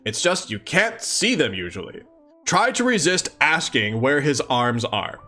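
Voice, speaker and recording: A man's voice: mid-range voice, very animated, measured pace, pushed and bright. A man doing a casual live read-along of a comic, reading expressively and adding mock-incredulous asides. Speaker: streamer reading a comic aloud.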